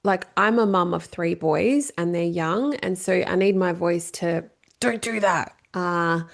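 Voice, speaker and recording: A rambling, chatty Australian woman in her thirties hosts her solo podcast with a slightly low voice. Her throat is still a touch croaky, and she fills her talk with "you know" and "like". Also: measured pace. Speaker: podcast host.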